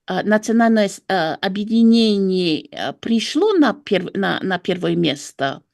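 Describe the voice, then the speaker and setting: A woman with a French accent analyses politics in an interview. Her medium-pitched voice moves in lively swings and sounds dry. She hesitates as she searches for words. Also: unhurried, gravelly, no room sound. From France. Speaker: political commentator and writer.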